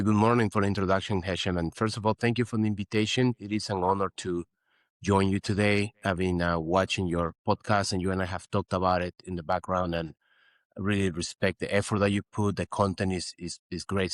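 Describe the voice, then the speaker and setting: A middle-aged man from Peru speaks in a low voice, explaining his work earnestly and patiently. The recording is dry and close, with no room sound. He leans on "you know" as he talks. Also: steady tone, a little fast, dry recording. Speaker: guest physician. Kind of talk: interview conversation.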